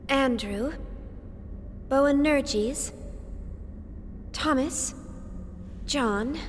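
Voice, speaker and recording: A woman voicing a curious, excitable young woman in a sci-fi video game. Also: sits high, in a large hall, a little hiss or hum. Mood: wondering.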